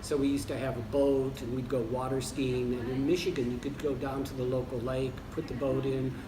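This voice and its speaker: A semi-retired American man in his sixties, answering interview questions in a relaxed way with frequent pauses and restarts. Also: mid-range voice. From United States. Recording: roomy, distant mic with background noise.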